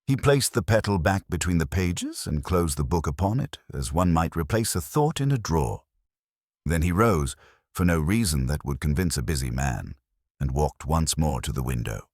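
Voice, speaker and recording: A man reading a cosy literary mystery as a scripted audiobook narration, in a measured, dryly wry and understated manner. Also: a bass voice, expressive, darkish tone, no echo. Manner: dry, measured, wryly understated.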